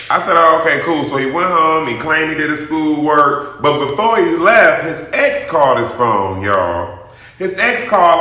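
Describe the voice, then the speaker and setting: A man telling a personal story to his viewers in a sassy, dramatic, animated vlogging style. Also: a little high. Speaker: YouTube vlogger. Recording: very echoey, roomy sound.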